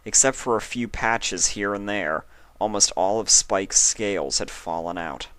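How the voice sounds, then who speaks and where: A man's voice: on the low side, a little slow, fairly bright tone, no room sound. A man reading fan fiction aloud as scripted storybook narration. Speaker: story reader.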